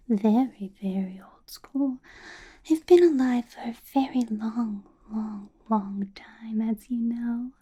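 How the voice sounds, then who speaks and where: A woman's voice: on the high side, breathy edge. A woman voicing an ancient, doting yet menacing female vampire boss in a whispered ASMR roleplay, coaxing and drawing out her words. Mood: sly, menacing, amused. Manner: slow, drawn-out, coaxing, soft chuckles and repeated words.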